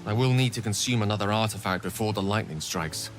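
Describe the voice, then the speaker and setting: An actor playing a courteous, learned wizard with a grave secret, speaking in formal, wordy video game dialogue. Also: male voice, on the low side, fast, close to an everyday voice. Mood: relieved, grave. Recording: music and rain behind the voice. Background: music, rain.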